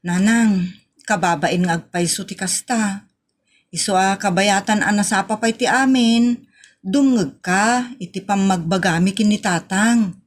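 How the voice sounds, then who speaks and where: A woman's voice: mid-range voice, a little slow, natural voice. A woman with a northern Philippine accent acting a daughter who earnestly reproaches and pleads with a parent in a comedy audio drama. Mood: reproachful. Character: grown daughter earnestly reproaching her mother.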